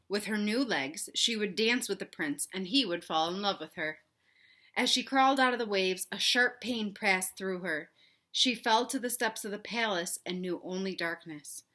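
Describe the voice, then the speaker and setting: A woman reading a storybook aloud for children with expressive narration and chatty asides to her young listeners. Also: mid-range voice, normal speed. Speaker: read-aloud host for children.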